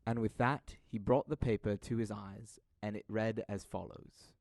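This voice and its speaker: A man's voice: on the low side, steady tone, moderate pace, gravelly, darkish tone, dry recording. A young man giving scripted narration for an audio drama. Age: young adult.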